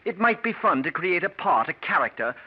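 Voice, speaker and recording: An actor playing a scheming, bitter stage actor in an old radio drama, speaking with measured, falsely polite menace. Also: male voice, high-pitched, sing-song, slightly rough, exaggerated voice. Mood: sly confiding. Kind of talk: 1940s-50s radio drama acting. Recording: muffled, noisy vintage radio recording.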